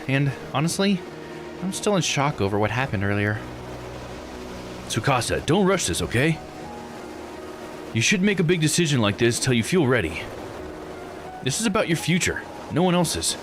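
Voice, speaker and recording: Man acting out anxious young game character, earnest, emotive, pitched up, lively swings, music behind. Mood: concerned, gentle. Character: earnest, anxious young friends in a visual novel. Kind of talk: character dialogue read aloud.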